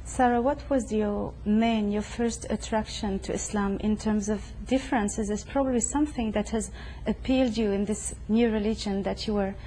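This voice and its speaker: A woman's voice: medium pitch, fairly even intonation, moderate pace, hardly any pauses, dark tone, slight background noise, rough recording. A woman hosting an interview show, putting formal, welcoming questions to a guest at her home. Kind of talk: hosted interview.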